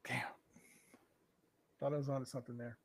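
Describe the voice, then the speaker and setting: A man co-hosting a casual podcast, loud, with quick exclamations and swearing. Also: medium pitch, level tone, vocal fry. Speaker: podcast co-host. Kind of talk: casual chat.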